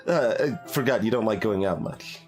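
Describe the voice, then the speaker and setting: Animated man narrating a game. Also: lowish voice, normal speed. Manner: expressive, reading aloud with off-the-cuff asides. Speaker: streamer doing a blind voiced playthrough. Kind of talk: game narration with casual commentary. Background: music.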